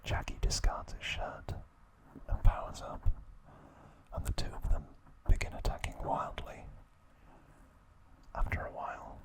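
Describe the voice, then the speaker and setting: Male ASMR host, fully whispered and breathy, very slow delivery, soft and deliberate trivia narration. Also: rough recording. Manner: soft, unhurried, deliberate phrasing.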